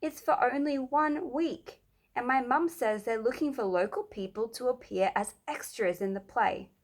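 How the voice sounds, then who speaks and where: A woman voicing an excitable, bossy schoolgirl in a children's storybook, emphatic and dramatic. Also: on the high side, moderate pace, voice pushed up. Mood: excited, impatient.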